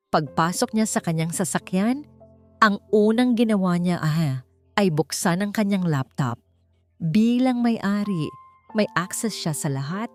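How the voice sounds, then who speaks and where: A woman narrating a scripted story in a dramatic, suspenseful style, with deliberate short emphatic phrases. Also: mid-range voice, darkish tone. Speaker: audiobook narrator. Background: background music.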